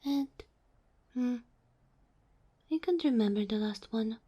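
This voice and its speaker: A woman voicing a sweet, polite kitsune familiar in an ASMR roleplay, soft and whispery, with formal, bashful phrasing. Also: a little high, slow, breathy edge, highs cut off. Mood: playfully coy. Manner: formal, courteous, slightly bashful. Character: sweet, polite kitsune fox-girl familiar, female, ageless.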